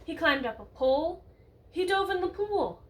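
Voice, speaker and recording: High-voiced woman reading aloud to children. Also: normal speed. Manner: animated, asks listeners questions. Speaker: teacher reading a picture book to children. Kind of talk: expressive read-aloud story narration with asides to children. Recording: distant mic, roomy background noise.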